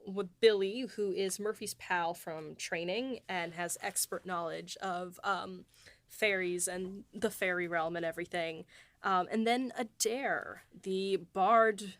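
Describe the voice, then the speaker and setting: A woman's voice: mid-range voice, a little slow, a bit bright, dry recording. A woman co-hosting a behind-the-scenes podcast, chatting casually with lots of fillers and laughs. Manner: chatty, many fillers, giggly. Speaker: podcast co-host and writer.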